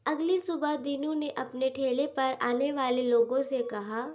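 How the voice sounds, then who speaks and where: A woman's voice: sits high, fairly even intonation, a little slow, darkish tone. A woman narrator reading a scripted cartoon story for children. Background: music.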